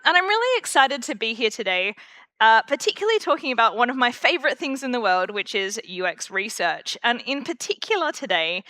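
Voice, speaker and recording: A woman's voice: on the high side, bright, forceful tone, no echo. A woman from Western Australia with an Australian accent, giving a chatty conference talk full of fillers. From Perth, Western Australia. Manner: chatty, self-deprecating, many fillers. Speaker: conference speaker. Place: conference room.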